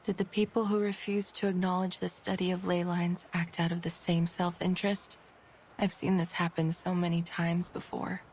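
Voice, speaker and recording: A woman voicing an earnest, troubled researcher in a fantasy game, speaking in a measured, formal, solemn way. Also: on the low side, little pitch movement, darkish tone, slight background noise, close to an everyday voice. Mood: bitter, resigned. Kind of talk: dramatic game dialogue. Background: game music.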